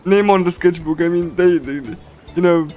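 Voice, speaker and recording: Male streamer, slightly high voice, giggly and hesitant casual readthrough over game music. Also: a little mellow. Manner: halting, repetitive, giggly. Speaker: livestreamer reading a visual novel.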